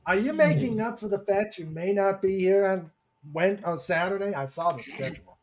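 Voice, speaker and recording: A man's voice: high voice, lively intonation, normal speed, a little hiss or hum. A man from the American Midwest hosting a casual podcast chat, playful and laughing often. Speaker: podcast host.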